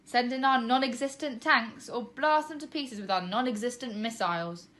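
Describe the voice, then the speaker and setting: A woman's voice: a little high, normal speed, fairly bright tone, pitched above the performer's own voice, lightly acted. A woman plays a cheeky schoolboy in a children's storybook. He talks in a wry way, giving out lines like a pretend military commander.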